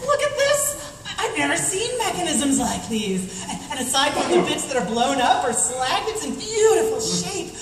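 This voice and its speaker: A woman playing a gleeful, obsessive young mad inventor in a comic radio drama, gushing excitably. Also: high voice, moderate pace. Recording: echoey hall stage, distant, clearly noisy.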